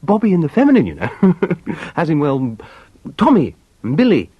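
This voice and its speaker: An actor playing a jovial, blustering newlywed husband in a British farce, with an upper-class English accent. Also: male voice, on the high side, wildly swooping pitch, moderate pace, slightly rough, dry recording, lo-fi sound, full character voice. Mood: placating.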